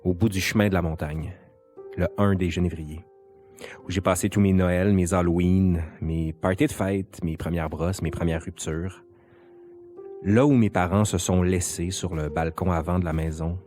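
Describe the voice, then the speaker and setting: Male narrator, Quebec French accent, low register, intimate scripted first-person storytelling, music bed. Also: fairly even intonation, normal speed, darkish tone. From Quebec, Canada. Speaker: narrator and interviewer. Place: sound studio. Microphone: quality studio microphone. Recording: music behind the voice.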